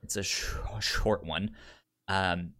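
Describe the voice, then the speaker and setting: Slow-talking man with a pronounced stutter. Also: sits low, steady tone, pushed and bright. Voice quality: pronounced stutter with repeated sounds and syllables. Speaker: podcast co-host. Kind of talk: casual podcast pitch of TV shows.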